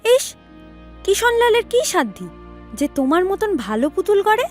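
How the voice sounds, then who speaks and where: A woman's voice: very high voice, very animated, a little slow, faint music behind, exaggerated voice. An actress playing a playful, devoted teenage village granddaughter in an audio drama, girlish and coaxing. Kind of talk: Bengali audio story drama.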